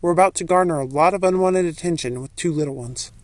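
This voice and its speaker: A woman's voice: lowish voice, fast talker, darkish tone, dry recording, close to an everyday voice. A woman voicing a cautious, practical young man in an audiobook story. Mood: cautious, businesslike.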